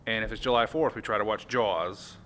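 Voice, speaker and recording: A man's voice: lowish voice, fairly quick, fairly bright tone, a little hiss or hum. An American man hosting a casual podcast chat with playful, wry banter. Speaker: podcast host.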